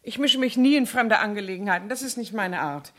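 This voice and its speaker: A woman's voice: mid-range voice, lively intonation, brisk, natural voice. An actress playing a nosy, chatty cleaning woman who acts naive, in a 1970s radio play. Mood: chatty, dismissive. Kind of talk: 1970s German radio drama acting.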